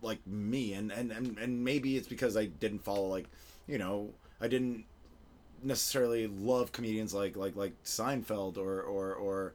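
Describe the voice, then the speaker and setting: A man's voice: lowish voice, steady tone, normal speed, a little mellow. A man from Venezuela who speaks with a Midwestern American accent, hosting a loose, casual conversation. He rambles through his thoughts, stacks up filler words and swears offhandedly. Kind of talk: casual chat.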